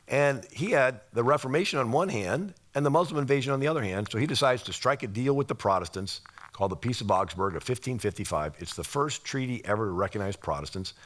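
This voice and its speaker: Male lecturer, medium-pitched and a little raspy, informal explanatory delivery with rhetorical questions. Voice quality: slightly raspy.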